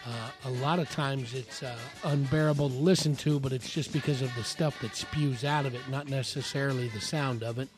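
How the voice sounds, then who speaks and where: A man hosting his podcast in a casual, rambling way, his voice hoarse from laryngitis. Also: mid-range voice, fairly even intonation, a little fast, hardly any pauses, over music. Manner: rambling, many fillers and restarts. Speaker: podcast host. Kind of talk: casual solo podcast talk.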